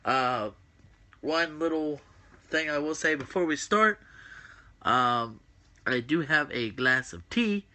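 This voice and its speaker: A man's voice: fairly high pitch, expressive, slow pace, pauses often, pushed and bright. A man with a groggy voice hosting a casual livestream, rambling with many fillers and answering viewers' comments. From West Virginia. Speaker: host of a wrestling predictions stream.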